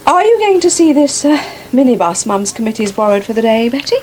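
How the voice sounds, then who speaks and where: An actress playing a sarcastic, well-to-do English wife with an upper-middle-class accent in a radio soap. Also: female voice, on the high side, darkish tone. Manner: arch, sarcastic. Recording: slightly noisy 1980s radio broadcast.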